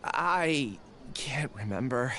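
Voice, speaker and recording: A man's voice: mid-range voice, lively intonation, takes it slowly, sharp tone, a little hiss or hum. A man playing a troubled, apologetic young amnesiac in a video game, speaking hesitantly and earnestly. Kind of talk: scripted video game voice acting. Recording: music behind the voice.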